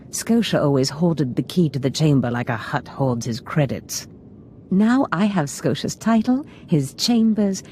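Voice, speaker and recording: An actress playing a scheming, ambitious female Sith lord in a video game, speaking in a measured, imperious, portentous manner. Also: lowish voice, darkish tone, slight background noise. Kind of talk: scripted video game dialogue.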